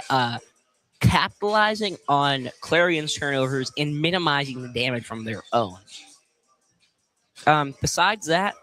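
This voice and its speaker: Young guy doing live hoops analysis on air, average pitch voice. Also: a bit bright. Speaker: sports commentator. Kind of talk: live basketball commentary, analysis. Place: indoor basketball field house.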